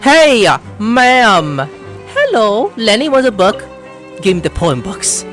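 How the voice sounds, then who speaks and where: Very animated, slangy game commentator. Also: mid-range voice, takes it slowly, faint music behind. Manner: slangy, reactive, playful. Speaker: gaming video creator. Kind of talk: casual let's play commentary.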